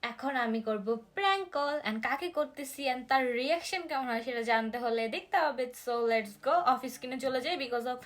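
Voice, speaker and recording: A young woman with a Bangladeshi accent talks in a casual, excited, chatty vlog style, mixing in English. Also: sits high, fairly quick, few pauses. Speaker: vlogger. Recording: noticeable background noise, clicks.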